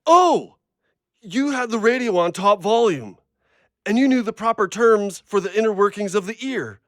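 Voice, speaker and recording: A man's voice: high-pitched, expressive, moderate pace, a bit bright, dry recording, studio quality. A man playing a blunt, guarded, literal-minded dog owner in an audio drama, with an abrupt manner. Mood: startled realisation.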